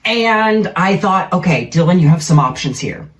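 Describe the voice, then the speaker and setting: A woman's voice: lowish voice, expressive, moderate pace. A woman talking chattily and playfully into a social media vlog clip. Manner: chatty, playful, breaks into singing.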